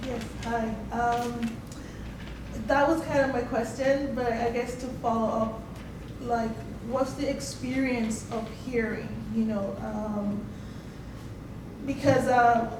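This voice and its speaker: A woman's voice: on the high side, fairly even intonation, slow pace. A woman in a seminar audience asking a personal question in an informal, tentative and hesitant way. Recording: echoey, noisy room, distant mic.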